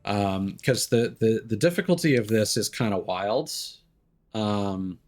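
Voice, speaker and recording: A man giving casual live game commentary, rambling and thinking aloud with hesitant pauses. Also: lowish voice, a little mellow. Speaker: game streamer. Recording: game music behind the voice. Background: game music.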